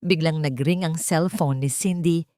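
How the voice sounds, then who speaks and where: A woman's voice: sits low, a little slow, warm tone, no echo, clean studio sound. A woman storyteller reading scripted narration of a dramatic love story.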